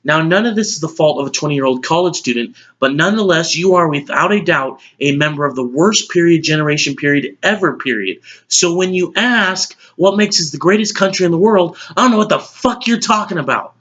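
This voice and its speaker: A man's voice: fairly high pitch, expressive, fairly quick, few pauses, a bit bright. A man playing a blunt, exasperated middle-aged news anchor in an emphatic, lecturing dramatic monologue. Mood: scornful, heated. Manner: emphatic, lecturing, list-driven delivery. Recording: dull lo-fi audio.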